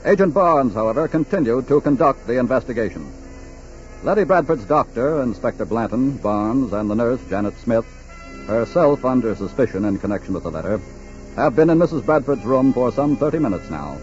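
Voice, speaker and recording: A man narrating a scripted 1940s radio crime story in a formal, measured way. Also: mid-range voice, lively intonation, darkish tone, soft background music. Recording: muffled 1940s radio recording.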